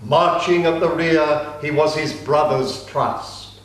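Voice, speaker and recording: A man giving a slow, measured, solemn reading of an ancient epic. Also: fairly high pitch. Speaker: reader. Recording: very echoey room.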